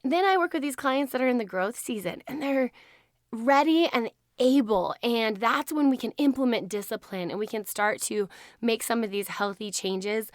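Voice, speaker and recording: A hoarse woman hosting her podcast in a casual, chatty way, laughing often. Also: fairly high pitch, moderate pace, no echo, studio quality. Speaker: podcast host. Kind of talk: casual chat.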